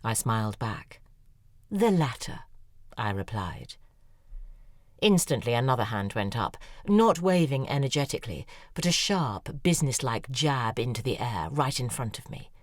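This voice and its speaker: A sardonic, weary novelist. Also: female voice, very deep voice, sing-song, unhurried, no room sound, clean studio sound, full character voice. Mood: wry. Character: acerbic, weary female novelist. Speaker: narrator and lead character, a novelist. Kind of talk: scripted first-person audio drama narration.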